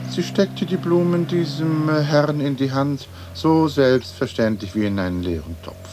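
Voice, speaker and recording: An actor playing a haughty, sardonic English lord in a radio play, with an aloof, dry, precise way of talking, on an old recording. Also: male voice, on the high side, measured pace, noisy background, lightly acted. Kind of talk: German radio play acting.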